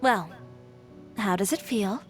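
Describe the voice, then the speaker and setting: A self-assured young woman from a video game, speaking at a middle pitch. She is blunt, dry and composed, proud of herself, with faint music underneath. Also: lively intonation, slow pace. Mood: relaxed. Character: confident, blunt young female fashion designer, proud and composed.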